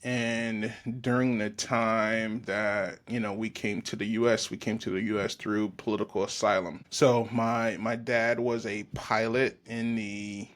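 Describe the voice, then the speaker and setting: A man from Haiti with a South Florida accent, a podcast guest talking in a relaxed way, full of "you know" fillers. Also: lowish voice, measured pace, few pauses. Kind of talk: casual interview talk.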